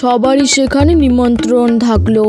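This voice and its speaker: A haughty little rich-boy bird from a cartoon, acted by a woman, bossy and scornful. The voice sits fairly high, with music playing clearly underneath. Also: fairly even intonation, moderate pace, lightly acted. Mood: boastful. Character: snobbish rich young male schoolbird, a bully. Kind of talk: animated cartoon voice acting.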